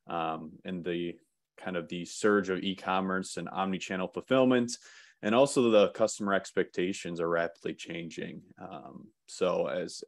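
Low-voiced man presenting slides. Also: no echo, clean studio sound. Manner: relaxed, many "um" fillers and restarts. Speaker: webinar presenter.

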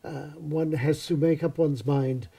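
An older man, a retired opera school director, answering in a studio interview with measured, formal phrasing. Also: a little high, fairly even intonation, gravelly, warm tone, husky.

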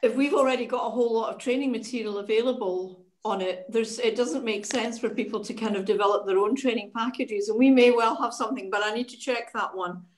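A woman's voice: on the high side, fast, hardly any pauses, low-quality recording. A woman on a video call, offering help and repeating words as she starts sentences. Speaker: workshop participant.

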